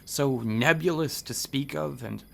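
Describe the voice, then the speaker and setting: Radio horror: obsessive, argumentative scholar of eerie legends, creaky and intense. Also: male voice, medium pitch, unhurried, a bit bright. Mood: ominous, insistent. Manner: dramatic, argumentative, insistent. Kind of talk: radio horror drama acting.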